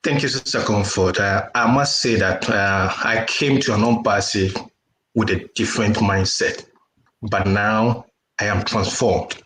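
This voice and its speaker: A man giving earnest, unscripted personal testimony as a panelist, in deliberate phrases. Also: lowish voice, fairly even intonation, fairly bright tone. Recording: roomy echo with noise and clicks.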